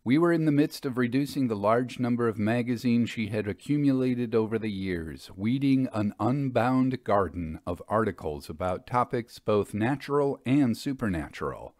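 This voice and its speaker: Guy narrating an old-fashioned mystery story, middle pitched, animated intonation, measured phrasing. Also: dry recording, clean studio sound.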